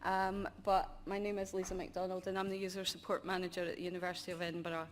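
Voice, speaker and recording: Middle-aged woman, lowish voice, conference talk, monotonous delivery, lots of ums, faint background noise. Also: fast talker. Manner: self-described monotonous delivery with frequent ums. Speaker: conference speaker, university user support manager. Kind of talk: conference presentation.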